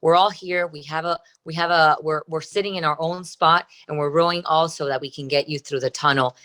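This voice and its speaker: Low-voiced Cuban-born woman surgeon. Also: steady tone, brisk, fairly bright tone. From Cuba. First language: Spanish. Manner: earnest, explains with journey and boat analogies. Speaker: breast surgeon. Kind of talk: interview answers.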